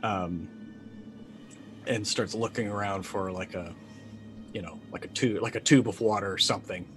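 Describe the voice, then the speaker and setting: A man in his forties playing a tabletop game, thinking aloud haltingly as he describes his character. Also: on the low side, fairly even intonation, a bit bright, faint music behind. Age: 40s. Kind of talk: improvised third-person character description.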